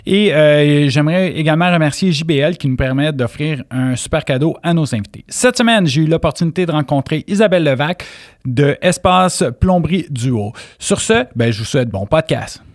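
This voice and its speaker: A man with a Québécois French accent, hosting a podcast interview in a chatty, relaxed way, often laughing and cutting in with questions. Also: fairly high pitch, moderate pace. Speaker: podcast host. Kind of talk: casual interview chat.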